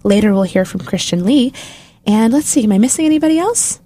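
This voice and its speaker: A woman's voice: fairly high pitch, expressive, a little fast, dark tone, dry recording. A woman hosting a college radio show, asking questions in a friendly, upbeat, loosely phrased way. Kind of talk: casual radio interview.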